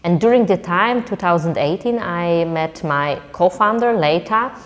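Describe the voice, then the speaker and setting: A woman's voice: lowish voice, a little slow, big-room sound. A woman from Poland, Polish native, answering interview questions with frequent restarts and fillers. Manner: hesitant, repeating words, many fillers. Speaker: entrepreneur guest.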